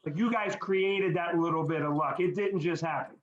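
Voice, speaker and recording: A man's voice: a little high, moderate pace. A middle-aged man speaking earnestly and reflectively in a group conversation, often repeating words as he goes. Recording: dull, echoey audio.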